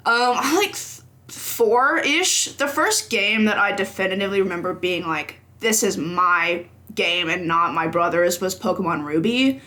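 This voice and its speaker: Bright-voiced young games writer, rambling. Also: medium pitch, measured pace. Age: young adult. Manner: relaxed, rambling, giggly. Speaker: podcast guest, games journalist. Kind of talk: casual interview chat. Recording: echoey room recording.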